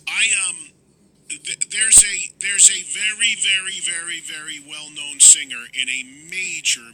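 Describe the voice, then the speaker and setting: A man's voice: on the high side, bright, forceful tone, rough recording. A man hosting a radio interview, talkative and emphatic, telling stories at length. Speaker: radio host.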